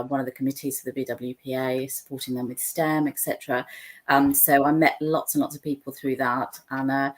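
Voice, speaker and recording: A woman from Sri Lanka, chatting as a guest on a video call from a room at home, talking freely with many fillers and restarts. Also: a bass voice. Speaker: guest, founder of an aviation charity. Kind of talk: casual video-call interview. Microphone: laptop webcam on a video call.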